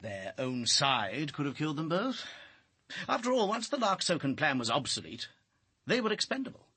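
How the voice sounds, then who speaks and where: An actor playing a brisk, hard-headed investigator in a radio drama. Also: male voice, fairly high pitch, wildly swooping pitch, bright, forceful tone, breathy edge, dry recording, full character voice. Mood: brisk, matter-of-fact.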